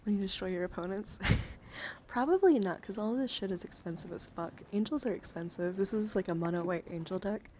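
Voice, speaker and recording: A woman's voice: medium pitch, fairly quick, a little mellow, slight background noise. A woman livestream host chatting casually, rambling and swearing freely as she shows off a collection. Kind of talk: casual livestream chat showing a card collection. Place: indoors, livestream with handheld camera.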